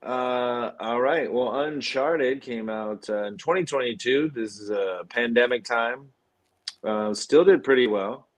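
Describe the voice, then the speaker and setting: Man, medium pitch, podcast host, casual film talk, reading out facts. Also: measured pace.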